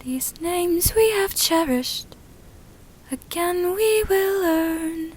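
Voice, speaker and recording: A solemn young woman from a storybook, acted out by a woman narrator, sings a ritual chant. Her voice is high, with very slow, drawn-out phrases. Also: darkish tone, full character voice. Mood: solemn, reverent.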